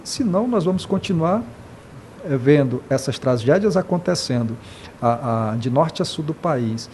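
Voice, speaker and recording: Impassioned Brazilian man, halting and earnest. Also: on the high side, animated, darkish tone, a little hiss or hum. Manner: impassioned, many hesitations and repeated "né". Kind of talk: earnest interview talk.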